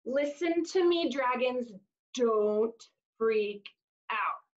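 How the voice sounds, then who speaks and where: A woman in her thirties reading a picture book aloud to young children, expressively, with exaggerated emphasis and drawn-out words. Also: on the high side, animated, lo-fi sound. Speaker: children's storytime reader. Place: small room indoors, seated on floor.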